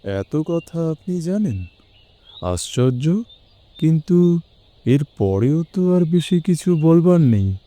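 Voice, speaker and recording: Troubled male client for audio drama: soft dark tone, literary, measured recounting. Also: fairly high pitch, sing-song. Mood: troubled, bewildered. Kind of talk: audio story acting.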